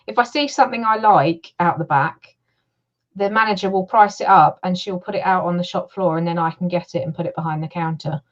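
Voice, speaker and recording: A woman's voice: lowish voice, brisk. A woman from Suffolk with a southern English accent, a guest chatting casually, with a hesitant, filler-filled way of talking. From Suffolk, England. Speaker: guest, reseller. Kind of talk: casual interview chat. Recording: muffled lo-fi call audio.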